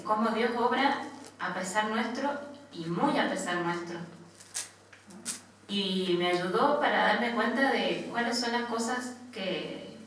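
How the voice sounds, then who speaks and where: An Argentine woman in her thirties, a missionary nun, gives an informal personal testimony in a halting manner, often adding small tag questions. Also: medium pitch, sharp tone. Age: 30s. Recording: echoey room, distant mic, faint noise.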